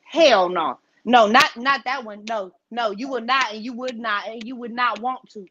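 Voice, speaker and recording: A woman with a high voice tells a story in loose, profane podcast chat. She is animated and acts out the people she quotes. The audio sounds a little dull and lo-fi. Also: moderate pace, slight background noise. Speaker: guest.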